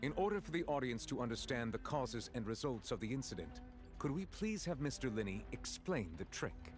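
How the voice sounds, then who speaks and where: An actor playing a solemn, authoritative judge in a video game, formal, measured and declamatory. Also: male voice, mid-range voice, very animated, soft background music, close to an everyday voice. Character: solemn, authoritative male judge presiding over a trial.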